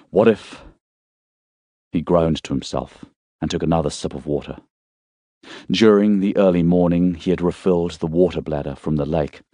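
A man reading a thriller novel as an audiobook narrator, in a measured, suspenseful storytelling way. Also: deep voice, darkish tone, no room sound, studio quality.

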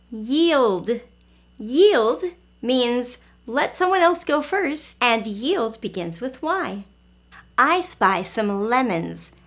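A woman's voice: high-pitched, pushed and bright. A woman in her forties teaching and reading to young children, encouraging and carefully enunciated. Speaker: early-learning teacher, host. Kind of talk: children's phonics lesson and read-aloud. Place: small furnished room at home, indoors.

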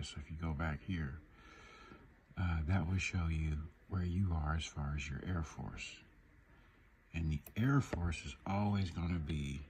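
A man's voice: low-pitched, warm tone, a little hiss or hum. A man giving a casual, off-the-cuff tutorial, thinking aloud in an informal way as he demonstrates. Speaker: video creator demonstrating shortwave radio listening. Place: indoors at a laptop. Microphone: handheld phone behind the camera.

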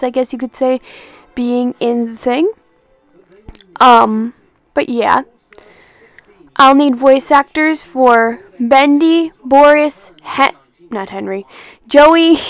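High, clear young woman, rambling casually. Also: no room sound. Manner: rambling, hesitant, self-deprecating. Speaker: amateur animation project creator. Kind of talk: casual announcement, unscripted.

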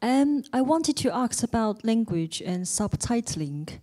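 A woman's voice: on the high side, lively intonation, slow, dark tone. A woman in a cinema audience asking a careful, polite question into a roving mic. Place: cinema auditorium. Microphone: roving handheld mic.

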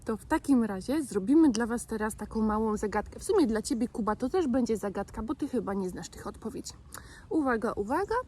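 A woman's voice: fairly high pitch, animated, fairly quick, darkish tone, husky. A woman hosting a children's show, speaking playfully and directly to young listeners in simple words. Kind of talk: playful scripted chat for children.

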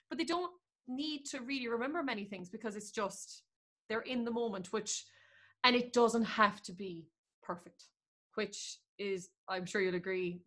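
A young Irish woman, a singer, talking in long animated runs on a video call from home. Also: on the high side. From Ireland. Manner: animated, talks in long flowing runs with quoted asides. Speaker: guest singer. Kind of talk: casual interview chat. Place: room at home. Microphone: video call with earphone mic.

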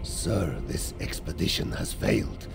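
An actor playing a gruff, blunt veteran soldier in a video game cinematic, with a rough voice and forceful delivery. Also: male voice, rumbling low, very animated, unhurried, full character voice. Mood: grim. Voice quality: gravelly, rough. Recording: music behind. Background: music.

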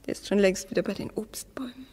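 An actress in a radio play voicing an anxious, bitterly mocking wife, with a husky, breathy voice and a volatile delivery. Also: female voice, mid-range voice, quick pace, natural voice. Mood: hushed, bitter. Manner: volatile, moving between breathy whispers and sharp outbursts. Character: anxious, bitterly mocking wife trapped underground with her husband.

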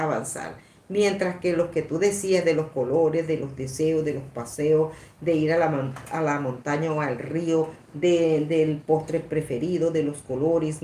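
Low-voiced older woman in an echoey room. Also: warm tone. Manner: rambling, repetitive, halting. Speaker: live stream host. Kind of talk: casual live-stream chat, reading out comments. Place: small indoor room at a table. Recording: echoey room, some background noise.

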